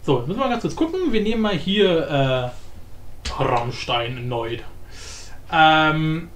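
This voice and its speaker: A man giving a casual, informal software tutorial, explaining step by step. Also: on the high side, lively intonation, slow. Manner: informal, explanatory, often adds tag questions. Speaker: tutorial host. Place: indoor room, voice-over of screen recording. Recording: roomy echo with faint background noise.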